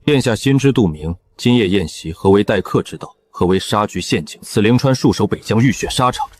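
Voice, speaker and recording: A man's voice: on the low side, lively intonation, fairly quick, slight background noise, natural voice. A man playing a stern, loyal and fiercely protective general in a Chinese costume drama. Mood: defiant anger.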